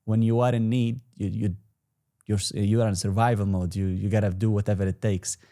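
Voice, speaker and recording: Young man with a mellow voice. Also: fairly low pitch, fairly even intonation, brisk. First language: Arabic. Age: 20s. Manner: rambling, frequent restarts and fillers. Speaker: guest entrepreneur. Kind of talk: casual interview talk.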